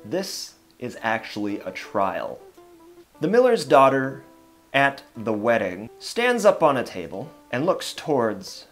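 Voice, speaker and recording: A storyteller retelling dark fairytales in a dramatic yet casual way, with wry conversational asides. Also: mid-range voice, big pitch swings, takes it slowly, soft background music.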